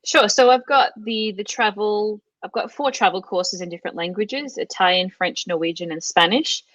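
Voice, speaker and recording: A woman's voice: medium pitch, normal speed, fairly bright tone, no echo, highs cut off. A middle-aged Australian woman chatting casually as an interview guest, with lots of fillers and restarts. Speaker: guest, language course creator.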